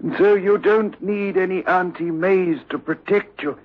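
A man playing a firm, probing sanatorium doctor in a 1960s radio drama, speaking with deliberate authority. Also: sits high, steady tone. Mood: reassuring, insistent. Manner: stern, deliberate, commanding. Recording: muffled, lo-fi old radio recording.

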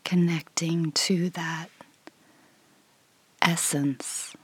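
Slow, breathy woman guiding meditation. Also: sits low, steady tone. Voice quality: soft, breathy, often near whisper. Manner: unhurried, gentle, with long pauses. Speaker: meditation guide. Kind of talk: guided meditation, slow calm instruction.

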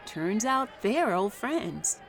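A woman's voice: mid-range voice, big pitch swings, slow pace, a faint crowd, low-quality recording. A woman playing an eager, defensive woman caught meddling in a scripted drama.

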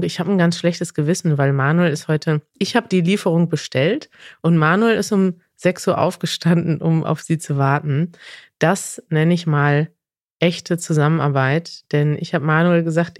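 A woman's voice: deep voice, a little mellow, no echo. Chatty woman, very dry sound. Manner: chatty, emphatic, self-correcting. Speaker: podcast co-host. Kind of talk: casual podcast chat. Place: new office.

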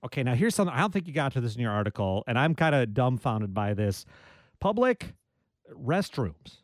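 A man's voice: mid-range voice, sing-song, fairly quick, no room sound. A man hosting a public radio call-in show, chatting in a jokey, easygoing way from a studio. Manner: jokey, conversational, self-deprecating. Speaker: radio talk show host. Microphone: studio microphone.

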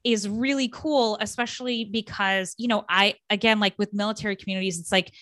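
A woman's voice: mid-range voice, fairly even intonation, a little fast, a bit bright, no echo. A woman hosting a podcast interview, chatty and casual with lots of filler words. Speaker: podcast host.

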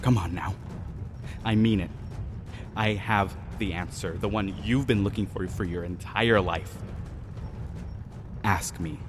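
A man's voice: deep voice, over music. An actor playing a vengeful Mayan man in an audio drama, mocking and menacing as he toys with an intruder. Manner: taunting, deliberate, menacing.

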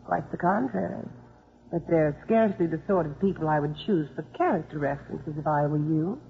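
An actress playing a crisp, formal female court investigator who also narrates, in a 1950s radio drama. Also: low voice, animated, brisk, slight background noise. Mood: prim, official. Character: prim, composed young female court welfare investigator. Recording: muffled 1950s radio recording, music behind.

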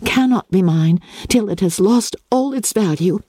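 A woman's voice: medium pitch, animated, unhurried, mellow, slightly rough, no echo, pitched above the performer's own voice. A woman voicing an earnest, sensible young woman who speaks formally and emphatically in a dramatic novel reading. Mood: wry.

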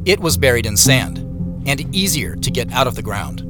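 A man acting as a brooding, suspicious investigator, narrating an audio drama in the first person in a measured, introspective way. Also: medium pitch, big pitch swings, over music, close to an everyday voice.

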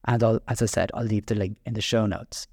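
A man's voice: on the low side, steady tone, brisk, darkish tone, no room sound. Irish guy rambling on his podcast, lots of ums and restarts, dry close sound. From Ireland. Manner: chatty and self-deprecating, with many fillers and restarts. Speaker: podcast host. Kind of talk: solo podcast monologue.

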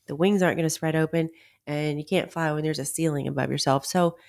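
A middle-aged woman hosting a solo podcast, her voice raspy because she is nearly losing it. She talks bluntly and swears freely. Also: deep voice, steady tone, quick pace, a little mellow, no echo, clean studio sound. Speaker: podcast host. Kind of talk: casual solo podcast talk.

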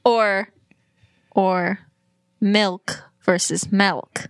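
A woman's voice: mid-range voice, fairly even intonation, very slow, clean tone, no room sound. A woman co-hosting a podcast in casual chat, dry and teasing. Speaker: podcast co-host.

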